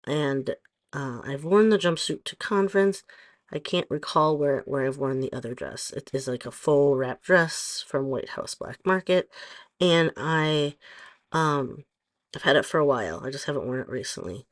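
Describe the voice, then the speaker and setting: A middle-aged woman with a hoarse voice, chatting casually through a nail tutorial and rambling with frequent pauses and ums. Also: low-pitched. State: hoarse from allergies. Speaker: nail stylist vlogger.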